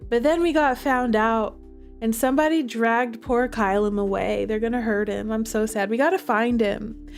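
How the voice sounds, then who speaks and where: A woman's voice: fairly high pitch, fairly even intonation, normal speed, vocal fry, soft background music. A woman streaming a visual novel, chatting to viewers and reading the game text aloud with dramatic feeling.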